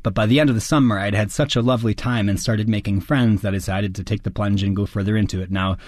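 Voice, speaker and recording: A middle-aged American man, an actor, answering interview questions in an earnest, articulate and measured way. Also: fairly low pitch, fairly even intonation, a little mellow, dry recording, studio quality. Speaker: actor and guest.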